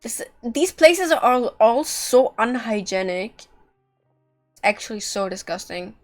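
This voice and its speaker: Slow-talking, blunt woman game streamer. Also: on the high side, expressive, soft background music. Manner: blunt, sweary. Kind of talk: casual live game commentary.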